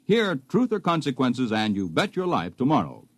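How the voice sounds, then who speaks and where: A male radio announcer reads scripted promos in 1950s style. His mid-pitched voice swings widely in intonation, and the sound is dry. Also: wildly swooping pitch, moderate pace, dry recording.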